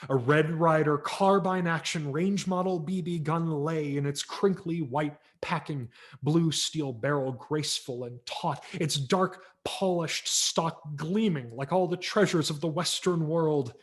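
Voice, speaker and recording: A man's voice: fairly high pitch, unhurried. A man narrating a story dramatically as an audiobook reader, with wry, theatrical emphasis.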